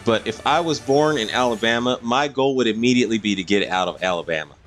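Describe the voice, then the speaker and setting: A man's voice: medium pitch, fast talker, fairly bright tone, soft background music. A man doing casual live-stream game commentary, explaining things to viewers in a rambling way.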